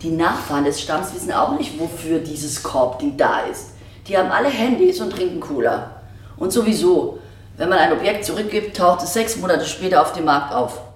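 An actress playing a blunt, disillusioned museum director in a German radio drama, speaking in a matter-of-fact, sardonic way. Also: female voice, on the low side, animated, normal speed, close to an everyday voice. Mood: resigned, sardonic. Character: blunt, disillusioned female museum director explaining her collection. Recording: roomy, echoing archive-like acoustic.

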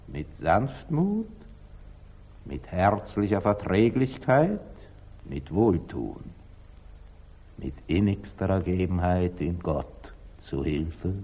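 An actor playing a wise, dignified, middle-aged merchant in measured, solemn declamation, in the style of 1940s radio drama. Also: male voice, mid-range voice, exaggerated voice. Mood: gentle, solemn.

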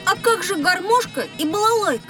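A woman's voice: very high voice, lively intonation, brisk, over music. A woman playing a cheeky, curious young boy with blunt, eager questions in a scripted children's audio drama.

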